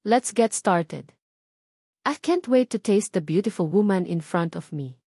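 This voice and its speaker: A woman narrator voicing a leering, predatory foreign man in an audio novel, with drawn-out, gloating delivery. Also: mid-range voice, expressive, dark tone, breathy edge, no room sound, studio quality. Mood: gloating, lustful.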